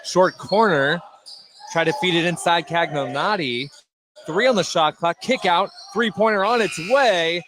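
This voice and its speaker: A man with a slightly high voice gives live basketball play-by-play. He is recorded in a noisy gym, with bouncing balls clearly audible behind his commentary. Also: measured pace. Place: indoor basketball gym during a game. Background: loud gym ambience, basketball bounces.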